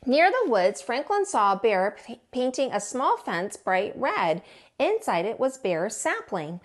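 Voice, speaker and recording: A woman, a teacher, reading a children's storybook aloud in an expressive way and acting out the dialogue. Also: a little high, unhurried. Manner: animated, acts out the dialogue. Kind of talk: expressive children's picture-book read-aloud.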